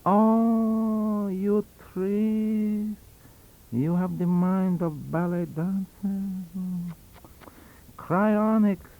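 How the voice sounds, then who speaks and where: A man voicing a pompous, excitable male alchemist professor centuries old, lecturing grandly and insultingly in an audiobook. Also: sits high, pitched above the performer's own voice, poor audio. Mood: scornful. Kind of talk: literary audiobook character reading.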